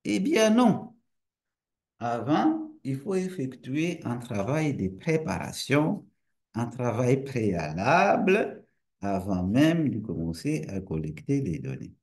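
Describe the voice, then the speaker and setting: Slow, lively Portuguese-speaking man lecturing. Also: mid-range voice. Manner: explanatory, with rhetorical questions and frequent restarts; relaxed and friendly. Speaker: university lecturer and researcher. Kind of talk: lecture to camera.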